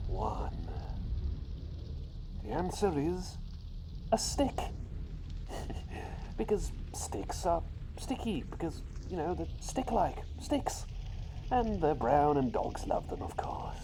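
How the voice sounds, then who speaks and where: A man's voice: mid-range voice, noisy background. A man voicing a playful, eccentric talking bird in an ASMR roleplay, chatting and posing riddles in a whimsical, teasing way. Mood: amused. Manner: whimsical, chatty, teasing, drawn-out suspenseful delivery.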